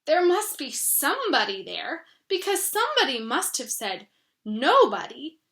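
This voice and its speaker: A woman's voice: high-pitched, big pitch swings, unhurried, fairly bright tone, exaggerated voice. Pooh: a woman voicing a cheerful humming bear. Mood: eager. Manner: sing-song humming. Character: cheerful, simple, greedy male bear.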